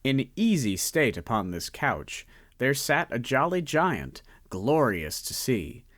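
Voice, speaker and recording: A man narrating a student radio drama, savoring each phrase and slowing down on lists. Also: medium pitch, big pitch swings, fairly bright tone, dry recording. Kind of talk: scripted story narration.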